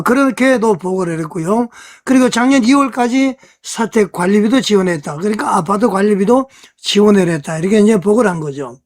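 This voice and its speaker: A news commentator on an online church news channel, explaining conversationally and putting rhetorical questions to the audience. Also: mid-range voice.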